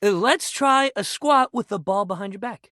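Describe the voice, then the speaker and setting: An actor playing an eager, upbeat sports-loving gym buddy in a video game, talking like a bro-ish coach who is anxious to please. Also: male voice, sits high, moderate pace, pushed and bright, no room sound, clean studio sound. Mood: encouraging, upbeat. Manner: bro-ish, encouraging coach talk with casual slang. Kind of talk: animated video game voice acting.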